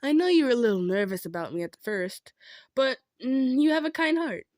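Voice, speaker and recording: A woman playing a kind, modest female centaur in an improvised roleplay, speaking gently and earnestly with some stammering. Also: sits high, big pitch swings, fairly quick, no echo, studio quality. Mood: touched, sincere. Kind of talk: improvised roleplay audio drama, addressed to listener.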